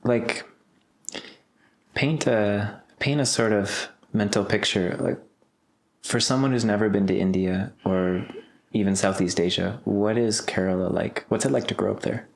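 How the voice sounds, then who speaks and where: Woman host with a very low voice, curious and chatty, quick to laugh. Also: steady tone, creaky. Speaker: podcast host. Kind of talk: casual interview chat.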